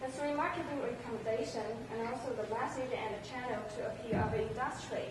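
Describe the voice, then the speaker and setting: A young woman from mainland China with a Chinese accent, giving a halting student presentation. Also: fairly high pitch. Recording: very echoey noisy room, distant mic.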